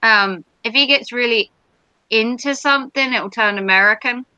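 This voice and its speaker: A woman's voice: fairly high pitch, moderate pace, bright, forceful tone, clean tone, dry recording, muffled recording. A woman from Norfolk with an English accent, chatting and teasing her partner on a live stream. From England, Norfolk. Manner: teasing, jokey banter. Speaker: vlogger hosting a live stream. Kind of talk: casual chat.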